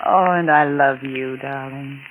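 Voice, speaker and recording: An actress playing a scheming, adulterous wife who is coolly manipulative and falsely tender, in a 1940s radio drama style. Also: female voice, rumbling low, fairly even intonation, unhurried, slight background noise. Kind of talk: 1940s radio drama acting with confessional narration. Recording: old lo-fi radio recording with music.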